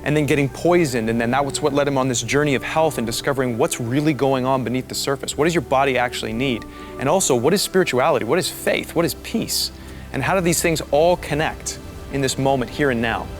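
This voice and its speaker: A man's voice: medium pitch, quick pace, faint music behind. A man with a Canadian accent hosting a podcast, chatting in a friendly, enthusiastic and affirming way. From Canada, west coast. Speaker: podcast host. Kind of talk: friendly casual interview chat.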